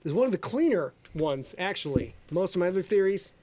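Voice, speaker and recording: A man's voice: high-pitched, sing-song, a little fast, a little mellow. A man co-hosting a casual livestream, joking around with crude banter and teasing his wife. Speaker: podcast co-host.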